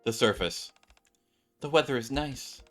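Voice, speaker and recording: A man's voice: medium pitch, unhurried, a bit bright, faint music behind. A man streaming a game and reading its story aloud, now and then stumbling and correcting himself. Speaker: gaming streamer.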